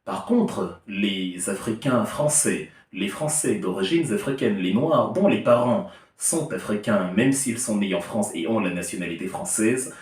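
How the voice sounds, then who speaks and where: A man's voice: fairly low pitch, expressive, measured pace, darkish tone. A man giving a heated political opinion monologue, emphatic and argumentative with an ironic edge. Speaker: political commentator. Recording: echoey room, distant mic.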